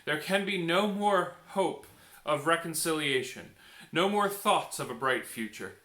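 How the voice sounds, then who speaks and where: A man voicing a noble, solemn and merciful king in a storybook, speaking in a measured, declamatory way. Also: fairly high pitch, expressive, pushed and bright, pitched above the performer's own voice. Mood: grieving, grave. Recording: echoey room.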